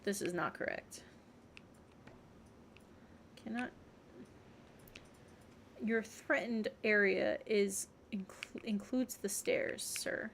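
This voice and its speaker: A woman's voice: mid-range voice, slow pace, vocal fry, pauses often, a bit bright, slight background noise. A woman livestreamer giving casual gameplay commentary, muttering questions and reactions to herself as she plays. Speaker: video game streamer.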